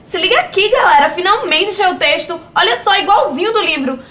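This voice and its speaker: A woman's voice: extremely high pitch, lively intonation, a little fast, pushed and bright, echoey room, faint music behind, heavily acted. An eager tech-loving girl. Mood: excited. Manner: eager, explains things to friends. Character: chatty tech-loving girl, cheerful and knowing.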